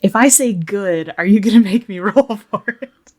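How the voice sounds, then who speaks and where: A woman's voice: mid-range voice, animated, normal speed, darkish tone. A woman chatting casually as a player in a tabletop game, thinking aloud in a hesitant, giggly way. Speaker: player in a tabletop roleplay podcast.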